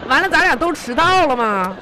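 A woman's voice: sits high, big pitch swings, a little fast, noisy background. A woman acting a cheeky, exasperated young deputy manager in a comic radio drama, argumentative and exclamatory. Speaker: comedy radio show host and performer. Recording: clear music behind the voice.

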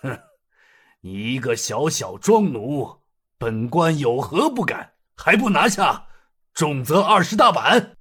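Man doing a stern scheming county magistrate, bossy and sneery, lively pitch. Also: slow, slightly rough, exaggerated voice. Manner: commanding, sneering.

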